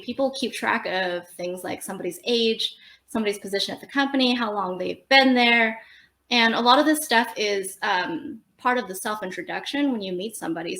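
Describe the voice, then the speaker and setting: A woman's voice: fairly high pitch, fairly bright tone. Chatty young woman in a roomy-sounding room. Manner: chatty, explanatory, frequent fillers and laughs. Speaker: podcast guest. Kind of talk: casual conversational interview. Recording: roomy echo.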